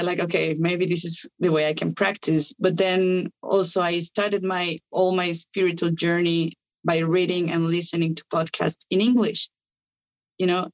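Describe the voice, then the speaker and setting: A woman's voice: lowish voice, highs cut off. A woman whose first language is Spanish, speaking English with a Spanish accent in a casual conversation, eager, with lots of fillers and restarts. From Spanish-speaking country. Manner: enthusiastic, many fillers and restarts.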